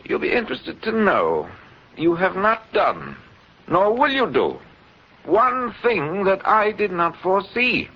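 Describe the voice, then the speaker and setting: A controlling, coolly sinister doctor in a 1940s radio play. His speech is polite and measured but swoops in pitch, with a husky, creaky edge. The old recording is dull. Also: huge pitch swings, heavily acted. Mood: taunting, cold. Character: suave, coolly menacing male doctor, controlling schemer.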